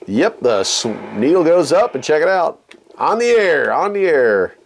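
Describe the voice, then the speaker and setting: A man's voice: a little high, very animated, a little slow, noisy background. A man hosting a hobby video in a casual, folksy way, musing aloud as he demonstrates equipment. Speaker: radio hobby video host. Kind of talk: casual hands-on demonstration chat.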